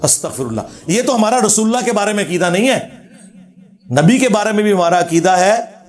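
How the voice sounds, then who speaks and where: A man's voice: high-pitched, lively intonation, a little fast. A man with a Pakistani Punjabi accent giving an informal religious lecture, argumentative and sardonic, quoting scripture as he goes.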